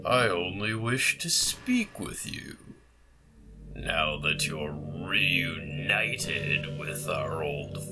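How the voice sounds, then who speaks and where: A man's voice: low voice, animated, full character voice. A man playing the ghost of a dead king who coldly urges his queen to murder, in an audio drama. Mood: menacing. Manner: slow, menacing urging. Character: ghost of a dead Scottish king, vengeful and commanding. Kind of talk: amateur audio drama acting. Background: clear music.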